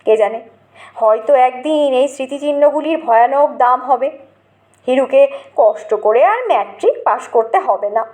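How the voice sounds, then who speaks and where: A woman reading a comic story as narrator, in an expressive, deliberate storytelling manner. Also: sits high.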